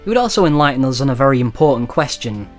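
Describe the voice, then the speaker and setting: A man's voice: medium pitch, sing-song, rapid-fire, faint music behind. A man narrating a scripted video essay in a wry, conversational storytelling manner. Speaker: documentary narrator.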